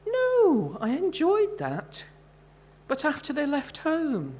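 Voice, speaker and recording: A woman's voice: sits high, wildly swooping pitch, slow, darkish tone, pitched above the performer's own voice, exaggerated voice. A woman voicing an elderly, long-married mother, proud and plain-spoken, in a short story reading. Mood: reflective.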